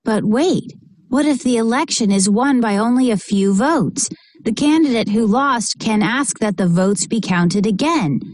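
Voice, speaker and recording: A woman reading a children's picture book aloud in a measured, expressive way, narrating and acting out short bits of dialogue. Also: a little high.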